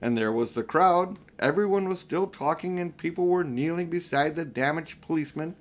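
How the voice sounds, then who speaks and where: A man's voice: on the high side, normal speed. Older man reading a children's storybook. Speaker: audiobook reader for children. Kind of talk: scripted storybook narration.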